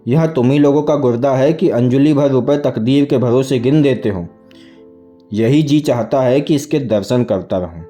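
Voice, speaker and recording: A man's voice: mid-range voice, moderate pace, dark tone, faint music behind, natural voice. A man voicing a wily middle-aged village farmer in a storybook, ingratiating and folksy in his rustic speech. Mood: flattering.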